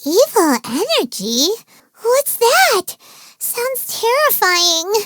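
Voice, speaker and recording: A woman voicing a tiny childlike fairy companion in a video game, squeaky, bubbly, boastful and naive. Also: very slow, dry recording, exaggerated voice. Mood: inquisitive. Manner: refers to self in third person, exclamatory, excitable. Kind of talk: video game character voice lines.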